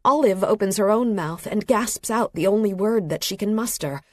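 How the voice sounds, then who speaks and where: A woman reading literary fiction as an audiobook narrator, with a measured, expressive delivery and a slightly husky voice. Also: mid-range voice, no room sound. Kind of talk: scripted literary fiction narration, present tense. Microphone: close studio microphone.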